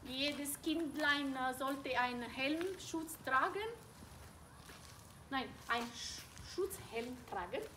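A woman's voice: high-pitched, takes it slowly, bright, forceful tone, slight background noise. A middle-aged woman, not a native German speaker, giving an improvised tour of a school playground with many fillers and self-corrections, recorded outdoors on a phone. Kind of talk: improvised walking presentation.

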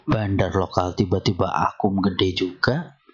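A man with a hoarse, somewhat low voice walks through stock charts. He talks in a relaxed, chatty way, full of small fillers. The recording sounds dull and lo-fi. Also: measured pace. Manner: relaxed, conversational, many fillers. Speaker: stock market analyst. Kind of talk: screen-share chart walkthrough.

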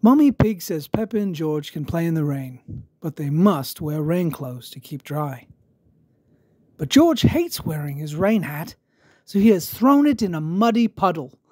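Slow, theatrical children's storybook narrator. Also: mid-range voice, husky, no room sound. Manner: expressive, theatrical. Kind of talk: dramatic children's read-aloud.

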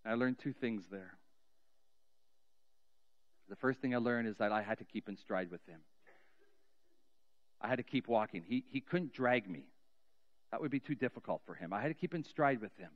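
A middle-aged man preaching as a pastor in a church sanctuary, telling a story earnestly and slowing down with soft, trailing endings. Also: mid-range voice, animated, muffled recording. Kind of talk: sermon storytelling and spoken prayer. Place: large church sanctuary, indoors. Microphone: cheek headset mic.

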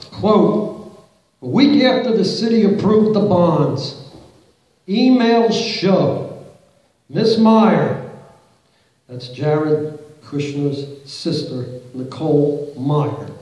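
A middle-aged man stands at a podium in a large council chamber and presses officials with pointed questions. His voice sits a touch high. A camera far back in the room picks it up with heavy hall echo. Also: dark tone, highs cut off. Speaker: public commenter at a council meeting. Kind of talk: public comment, reading quotes aloud. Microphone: podium mic, recorded by a far camera in the audience.

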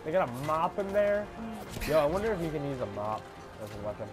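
A young man with a congested voice giving casual, swearing commentary while playing a game, mostly low and mumbled with sudden loud outbursts. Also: sing-song, brisk, over music. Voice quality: congested, stuffy. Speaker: gamer playing a shooter game.

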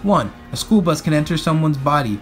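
A man reading a children's picture book aloud in an animated, expressive way. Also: a little high, brisk, soft background music. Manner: expressive, acts out captions and speech bubbles.

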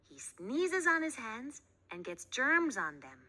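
A woman reads as a patient lady teacher in a children's book. She explains emphatically in a very bright voice at a slow pace. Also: a little high, very animated, pitched above the performer's own voice, full character voice. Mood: instructive. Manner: clear instructing, emphatic. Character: patient, instructive female schoolteacher in a storybook.

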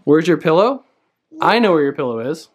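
A man's voice: on the high side, very animated, normal speed, slightly rough. An American man in his thirties, a father chatting casually and playfully to his kids as he narrates for a home vlog. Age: 30s. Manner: playful, coaxing parent talk. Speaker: father hosting a family vlog. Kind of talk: casual narrated house tour, chatting to children. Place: indoors, rooms of a family house. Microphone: handheld camera at arm's length. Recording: noisy handheld home video.

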